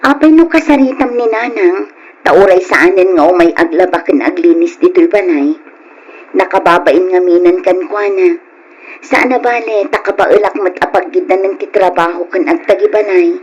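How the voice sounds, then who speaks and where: A woman's voice: lowish voice, normal speed, darkish tone, breathy edge, muffled recording. An actress playing a modest young wife and mother in a radio drama, pleading and hesitant, with a Filipino accent. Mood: pleading, coaxing. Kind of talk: Ilocano radio drama acting.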